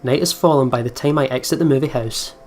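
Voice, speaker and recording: A man hosting a gaming video, reading story text aloud and thinking out loud in a chatty way. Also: medium pitch, quick pace.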